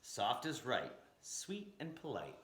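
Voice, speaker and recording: A man's voice: medium pitch, huge pitch swings, slow pace, fairly bright tone, exaggerated voice. Man as a bossy record producer, roomy sound. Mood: coaxing. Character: smooth-talking, bossy male record producer. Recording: roomy, echoing room sound.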